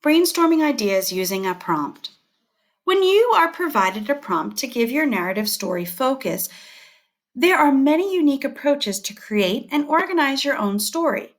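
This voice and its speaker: Higher-pitched woman reading a lesson aloud. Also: very animated, moderate pace. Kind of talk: scripted instructional read-aloud.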